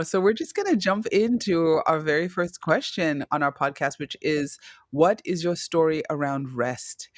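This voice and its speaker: A woman's voice: sits low, no room sound, studio quality. A woman from Zimbabwe, hosting a podcast interview in a chatty, affectionate way. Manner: chatty, affectionate, reads intro aloud. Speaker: podcast host.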